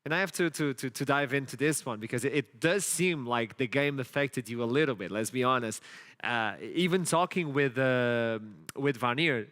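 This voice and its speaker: This is a man hosting a live esports analysis desk. He speaks at an ordinary mid pitch with lively energy. He tends to repeat a word or two when he launches into a question. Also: moderate pace. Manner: lively, repeats words when starting sentences. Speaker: esports broadcast host.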